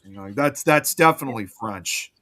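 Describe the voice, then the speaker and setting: Brief: easygoing male co-host, bright, forceful voice, rambling chat. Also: mid-range voice, expressive, moderate pace. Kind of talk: casual chat.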